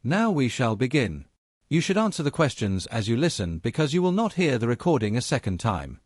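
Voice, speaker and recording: A man's voice: mid-range voice, big pitch swings, brisk, no room sound, clean studio sound. A man reading scripted listening test instructions in a formal, instructional manner as an exam narrator.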